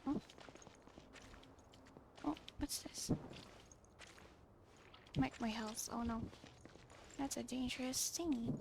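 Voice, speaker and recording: A woman's voice: a little high, steady tone, slow, creaky, pauses often, background noise. A woman doing casual gameplay commentary, muttering short reactions to herself. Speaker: gamer playing for own video channel. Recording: loud game music behind voice. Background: loud game music.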